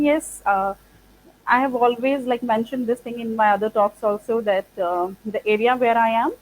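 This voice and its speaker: Punjabi-speaking indian woman, mid pitch, chatty interview answers, faint hum behind. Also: poor audio. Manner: thoughtful, many "like" and "uh" fillers. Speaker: founder of an English training institute.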